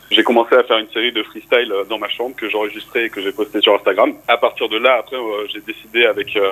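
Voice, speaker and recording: A man's voice: on the low side, low-quality recording. A young man from France, a rapper guest in a radio interview, talking casually with fillers. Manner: relaxed, casual, speaks with fillers.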